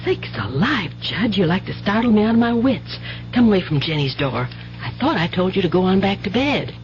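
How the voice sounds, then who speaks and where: A woman's voice: medium pitch, sing-song, fairly quick, close to an everyday voice. A worried, loving country mother played by a woman in a vintage radio play. Her folksy talk is fretful and tender, heard through a dull old broadcast with music underneath. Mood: flustered, defensive. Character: anxious, doting rural mother, worn but loving. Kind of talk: 1970s radio drama acting.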